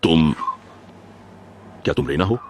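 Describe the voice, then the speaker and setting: A man's voice: rumbling low, measured pace, background noise. A man voicing a brooding young male fighter in a dubbed game cutscene. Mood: intense. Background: loud electronic game music.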